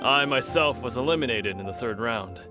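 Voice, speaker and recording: A man's voice: medium pitch, animated, moderate pace, over music, lightly acted. An actor playing a big, courtly male fighter who speaks in a formal, polite, slightly theatrical way. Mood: courteous.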